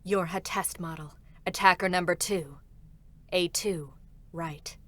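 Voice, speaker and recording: A woman's voice: on the low side, steady tone, measured pace, a bit bright. Cold, formal female executioner android. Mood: cool, detached. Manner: formal, controlled, clipped. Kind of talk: dramatic stage reading of a game character. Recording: music behind the voice. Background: music.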